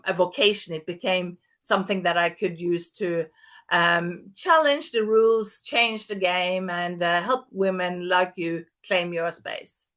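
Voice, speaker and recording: A woman's voice: on the low side, fairly bright tone. A woman, presenting an online workshop over a video call in an upbeat, chatty way. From Norway. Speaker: masterclass presenter.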